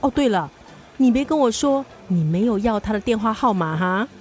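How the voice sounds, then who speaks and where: 一位女演员在广播剧里饰演爱八卦、满脑子浪漫幻想的年轻闺蜜，带新加坡口音，语气夸张、爱唠叨。. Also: female voice, on the high side, animated, normal speed, darkish tone, lightly acted. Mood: nagging. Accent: Singaporean. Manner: teasing, nagging, dramatic exclamations. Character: gossipy, romance-obsessed young woman, best friend. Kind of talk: radio drama dialogue.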